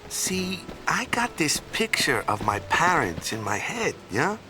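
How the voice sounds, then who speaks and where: A man's voice: on the high side, sing-song, measured pace, over music. An actor playing a laid-back, unsure young man in a game, talking easily with trailing "yeah?" tags. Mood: wistful. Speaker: game character.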